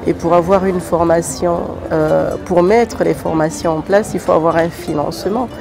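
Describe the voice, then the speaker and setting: A woman's voice: fairly low pitch, steady tone, moderate pace, gravelly. A woman from Congo-Brazzaville who heads a nonprofit association, answering questions hesitantly with many fillers. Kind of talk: interview answers. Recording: music playing under the voice.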